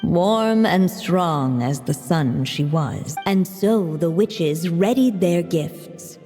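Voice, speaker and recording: Storybook narrator for fantasy game: gentle, solemn woman, lowish unhurried voice, music behind. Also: expressive. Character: gentle storyteller narrating a witches' fairy tale. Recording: long reverb, music behind.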